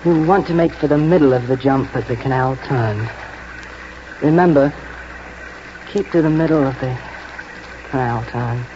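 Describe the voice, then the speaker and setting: A man playing an earnest, protective young horse trainer in a 1940s radio drama, urgent and halting as he instructs. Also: mid-range voice, lightly acted. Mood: coaching, insistent. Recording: muffled, noisy old 1940s radio broadcast.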